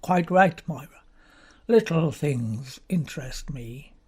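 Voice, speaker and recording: A man reading the part of a grave, sinister middle-aged male country doctor in an audiobook, asking slow, deliberate, probing questions in a breathy voice. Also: on the high side, lively intonation, full character voice.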